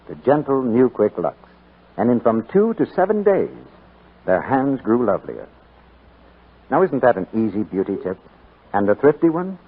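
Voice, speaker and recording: A man's voice: medium pitch, breathy edge. A man announcing a scripted 1940s radio commercial in a polished, persuasive sales delivery. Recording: muffled 1940s radio broadcast, narrow band.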